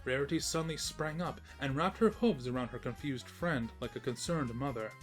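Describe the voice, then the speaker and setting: A man playing a snarky, defensive invisible narrator of a comic pony story, reading in a wry, expressive storytelling manner. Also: mid-range voice, moderate pace, faint music behind, lightly acted. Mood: narrating. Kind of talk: scripted narration of comic fan fiction.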